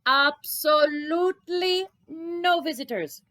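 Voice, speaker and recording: A woman voicing a weary, bookish male rabbi in a children's storybook, with drawn-out, emphatic phrasing. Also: high voice, pushed and bright, pitched above the performer's own voice, heavily acted. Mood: firm, fed up. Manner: groaning, drawn-out, emphatic complaining. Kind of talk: storybook character voice.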